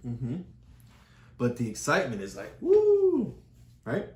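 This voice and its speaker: A woman's voice: very deep voice, wildly swooping pitch, takes it slowly, heavy background noise. A woman talking through a picture book with a child in a patient, explaining way, often repeating key phrases. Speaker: parent reading with a child. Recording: echoey room.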